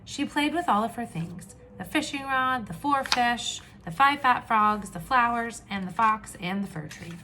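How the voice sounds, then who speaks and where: Slow, animated woman reading to children. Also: on the high side, noisy background. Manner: expressive, questioning, drawn-out emphasis. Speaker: teacher reading aloud to young children. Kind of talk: children's read-aloud lesson.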